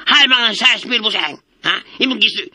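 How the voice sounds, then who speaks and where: Sharp, high-voiced cheeky underling. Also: male voice, expressive, normal speed, husky, heavily acted. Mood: wheedling. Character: wheedling, cheeky male underling haggling with his boss. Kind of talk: comedic radio drama acting.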